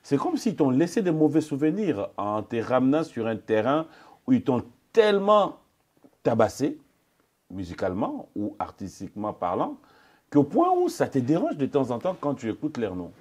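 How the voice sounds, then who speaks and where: A man conducting a probing interview as a journalist, insistent and challenging, often cutting in and repeating his questions with a husky voice. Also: medium pitch, huge pitch swings, moderate pace, a little mellow.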